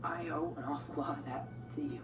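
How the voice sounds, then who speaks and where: A woman's voice: low voice, fairly even intonation, brisk. A woman voicing an earnest, emotional teenage younger brother in a storybook, speaking emphatically. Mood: touched, gentle. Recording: echoey, distant, music bed.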